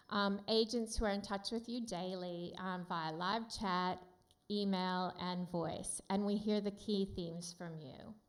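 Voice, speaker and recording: A woman with an American accent giving a friendly, loud conference talk with many fillers to a room of support workers. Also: medium pitch, roomy sound. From United States. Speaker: company speaker at a summit. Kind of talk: conference presentation.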